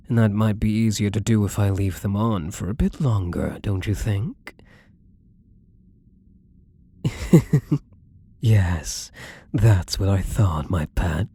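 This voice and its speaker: A man playing a wealthy gambler, possessive and lovestruck, adoring yet commanding, in an intimate, breathy ASMR romance roleplay that often drops toward a whisper. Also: fairly low pitch, mellow, full character voice. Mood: playful, seductive.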